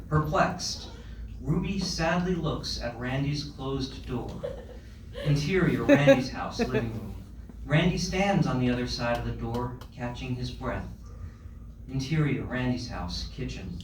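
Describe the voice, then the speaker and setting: A man reading stage directions as scripted narration at a live screenplay table read. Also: fairly high pitch. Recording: echoey room, distant mic, clear background noise.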